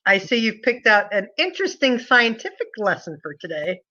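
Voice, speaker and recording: An older woman from the United States who tutors English online in a chatty, friendly way. Her voice has a bright, forward edge. The sound comes through a slightly dull video-call line. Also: mid-range voice, big pitch swings, normal speed. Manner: chatty, friendly, asks questions. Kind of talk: casual conversation lesson.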